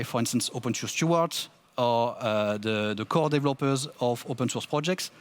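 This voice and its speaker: A man presenting at a tech conference, often restarting and repeating words. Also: mid-range voice. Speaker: conference speaker.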